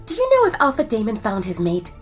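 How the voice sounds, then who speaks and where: A proud young she-wolf, daughter of a pack leader, guarded and brisk. Her voice is high and lively in pitch. Quiet music runs underneath this audio drama scene. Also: husky, heavily acted. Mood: doubtful. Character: proud young woman, an alpha's daughter, uneasy.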